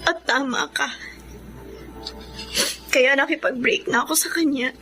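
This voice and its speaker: An actress with a Filipino accent playing an emotional, pleading, loyal younger sister in a radio drama. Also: female voice, high-pitched, a little slow, sharp tone, faint music behind. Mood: tender, reconciling. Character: Josie, hurt, loyal younger sister, university student.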